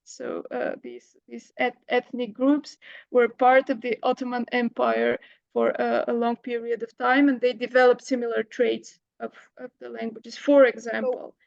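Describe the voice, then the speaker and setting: A woman's voice: fairly high pitch, little pitch movement, moderate pace, creaky, a little mellow, dry recording, lo-fi sound. A middle-aged woman, a lecturer answering audience questions, hesitant with many fillers and restarts. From Bulgaria. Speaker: guest lecturer. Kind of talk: academic Q&A answer.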